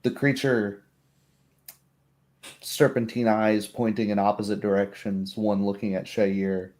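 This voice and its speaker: A man's voice: lowish voice, little pitch movement, a little slow, a little mellow. A man narrating a tabletop roleplaying game as game master, with vivid, descriptive storytelling.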